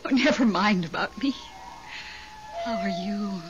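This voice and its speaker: A woman's voice: medium pitch, unhurried, music in the background, natural voice. A woman playing an emotional, clinging widow with a dramatic, wistful, insistent manner in 1970s radio drama style. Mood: anxious, probing. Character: emotional, clinging widow named Melba, devoted to dead husband. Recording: dull 1970s radio recording, noisy.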